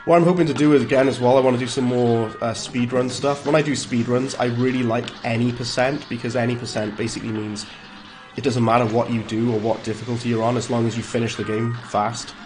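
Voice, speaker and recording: A Welsh-accented man talks through a live game stream in a relaxed, chatty way, greeting viewers and reacting out loud as he plays. His voice sits in an ordinary middle pitch. Faint game music runs quietly behind him. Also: quick pace. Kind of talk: live gameplay commentary and chat replies.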